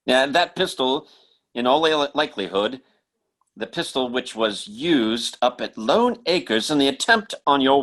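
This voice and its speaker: Guy playing earnest psychic showman in radio mystery, halting and emphatic, pitch swings all over the place. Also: a bit bright. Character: earnest, insistent stage mentalist with telepathic powers. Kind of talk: old-time radio mystery drama acting.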